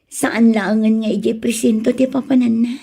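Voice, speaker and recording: A woman's voice: fairly high pitch, little pitch movement, moderate pace, vocal fry, dark tone, breathy edge. Anguished Ilocano younger sister, pleading woman acting. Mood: tearful pleading. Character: anguished younger sister praying for her troubled family. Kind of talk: Ilocano radio drama acting.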